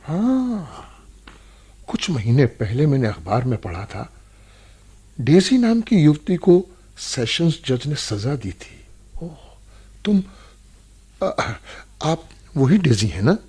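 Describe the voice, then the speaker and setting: An earnest, probing playwright in a radio play. His husky voice rises and falls widely as he questions and pleads with emotional insistence. He leans on dramatic pauses and repeated words. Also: a little high, sing-song, mellow, exaggerated voice. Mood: shocked, probing. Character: earnest, probing male playwright visiting a prison.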